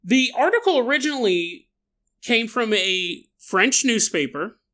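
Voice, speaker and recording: A male podcast host tells an odd story with a playful, joking manner, laughing often. His voice is bright and sharp. His pitch swings up and down, so the telling sounds animated. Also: unhurried. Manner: jokey, laughs often, acts out side remarks. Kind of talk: comedic paranormal storytelling.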